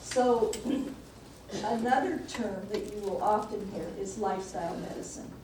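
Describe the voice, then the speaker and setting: A woman in her sixties with a soft voice, telling a personal story in a casual, conversational talk to a church audience. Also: medium pitch, measured pace. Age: 60s. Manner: conversational, personal anecdotes with filler "um". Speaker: nurse practitioner speaking as guest presenter. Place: church hall. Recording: echoey hall from far off, background noise.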